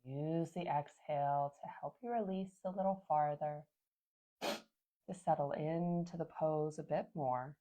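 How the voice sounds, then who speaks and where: A woman yoga instructor calmly cueing a seated class, a little sniffly from a sinus infection. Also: low voice, rough recording. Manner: gentle, calm cueing with soft pauses.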